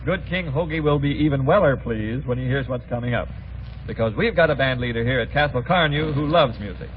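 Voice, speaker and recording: An American man hosts an old 1940s radio variety show, trading scripted banter. His voice sits at a middling pitch, on a muffled recording with faint background noise. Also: a little fast.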